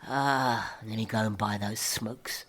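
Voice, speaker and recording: An ageing South African woman who is down and out. Her speech is slow and rambling, full of muttering to herself that breaks into feisty bursts. It is a lonely, defiant monologue from a radio play. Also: extremely low pitch, fairly even intonation, fairly bright tone, slight background noise, full character voice. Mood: wistful, muttering.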